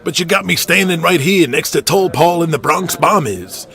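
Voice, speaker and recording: A man's voice: a little high, expressive, normal speed, vocal fry, bright, forceful tone, exaggerated voice. An actor playing a brash, grumbling man of eighties pro wrestling, blunt and argumentative, in an audio drama. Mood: indignant. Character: brash, foul-mouthed, grumbling men of 1980s pro wrestling.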